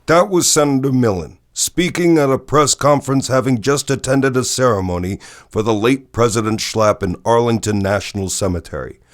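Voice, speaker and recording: A man playing a solemn news anchor, reporting in a grave, measured, formal broadcast style. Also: mid-range voice, natural voice. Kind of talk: dramatic broadcast news narration. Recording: music bed behind the voice.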